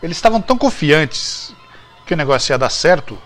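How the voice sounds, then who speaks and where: A man's voice: a little high, very animated, brisk, a bit bright, faint music behind. A Brazilian man in a casual interview, telling stories as a guest, often repeating phrases and checking in with the listener. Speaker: guest writer telling behind-the-scenes history of a TV series. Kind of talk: animated casual interview storytelling.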